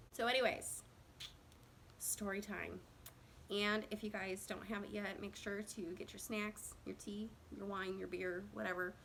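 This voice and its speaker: A woman's voice: medium pitch, a bit bright, slight background noise. Chatty rambling woman in her thirties. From Indiana, USA. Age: 30s. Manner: rambling and chatty, with many fillers and self-conscious laughs. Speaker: vlogger telling her own story. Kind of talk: casual storytime chat to camera. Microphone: phone camera.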